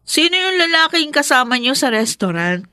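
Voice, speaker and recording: High, bright, aggrieved young daughter. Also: female voice, sing-song, moderate pace, no room sound, studio quality, heavily acted. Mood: insistent, upset. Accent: Filipino. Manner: insistent, plaintive. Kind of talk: Filipino radio drama acting.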